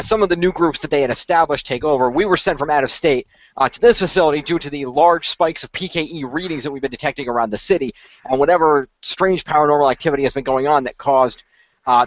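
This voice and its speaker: A man with a mid-range voice plays along in an improvised role play. He talks very quickly, in a halting, explanatory way. The sound is dry and close, without any room. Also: mid-range voice, no room sound. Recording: dry, dull lo-fi audio.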